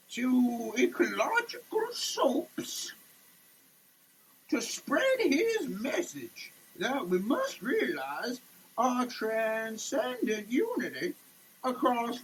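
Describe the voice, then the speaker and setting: A performer giving a comedic dramatic reading of label text, theatrical, moving between declaiming and muttered asides, choking as water soaks them. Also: sits high. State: choking, coughing, gasping while being soaked with water. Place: shower, indoors. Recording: noisy room with running shower water.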